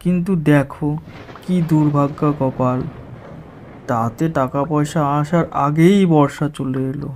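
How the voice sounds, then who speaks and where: A man's voice: on the high side, unhurried, darkish tone, slight background noise. A man playing a poor, plaintive village chilli farmer who exclaims his way through a cartoon story. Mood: worried. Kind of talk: dramatised cartoon story dialogue. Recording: music behind the voice.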